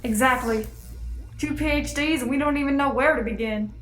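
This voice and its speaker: A woman's voice: high-pitched, moderate pace, a bit bright. A teenage girl or young woman playing a sarcastic, bantering scientist with a sardonic manner. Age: teens. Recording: echoey room, faint music.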